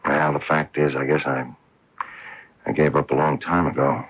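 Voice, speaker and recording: An actor in a radio drama playing a defensive, self-deprecating former golfer husband who talks hesitantly and ruefully. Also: male voice, rumbling low, little pitch movement, moderate pace, a bit bright, a little hiss or hum. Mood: self-deprecating, bitter. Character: bitter, defensive former pro golfer husband with a guilty secret. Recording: muffled old AM radio broadcast.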